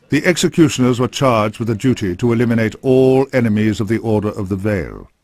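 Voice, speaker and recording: Low-voiced man narrating dark fantasy. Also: no echo, clean studio sound. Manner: grave, measured storytelling.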